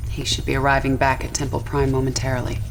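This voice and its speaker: A woman's voice: a bass voice, completely flat delivery, fast talker, slight background noise, low-quality recording. An actress playing a determined, earnest female military leader in a video game cutscene, speaking in an urgent, confiding way. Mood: confiding, troubled. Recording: music behind the voice.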